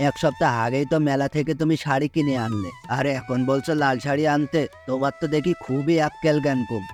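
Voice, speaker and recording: A man's voice: medium pitch, steady tone, quick pace, a little mellow, lightly acted. A man voicing a kind-hearted poor farmer in a cartoon, talking patiently and sometimes grumbling in exasperation. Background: background music.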